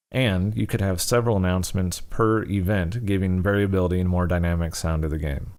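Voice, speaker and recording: A man with a low-pitched voice narrates a tutorial. He explains things step by step in an informal, instructional manner, as a presenter walking learners through software.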